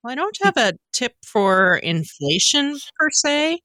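A woman's voice: high-pitched, sing-song, a little slow, sharp tone, dry recording. A woman co-hosting a podcast, talking in a casual, conversational style. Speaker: podcast co-host. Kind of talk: casual chat.

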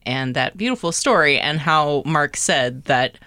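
A woman's voice: deep voice, animated, a little slow, sharp tone, no room sound. A woman co-hosting a podcast in casual, reflective conversation. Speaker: podcast co-host.

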